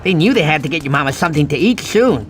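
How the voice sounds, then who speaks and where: An actor voicing a goofy, doting cartoon uncle sloth who tells a bedtime story to a child in a rambling, folksy, dramatic way. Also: male voice, a little high, lively intonation, fast, a bit bright. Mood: storytelling. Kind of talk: animated storytelling to a young child. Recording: music behind the voice.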